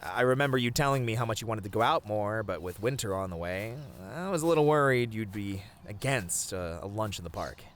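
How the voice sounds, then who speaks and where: A man playing a sweet, bashful boyfriend in a romantic ASMR roleplay, talking tenderly in a flustered, halting way. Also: mid-range voice, fairly bright tone, slight background noise. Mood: fond, teasing. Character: sweet, bashful, rambling boyfriend on a picnic date.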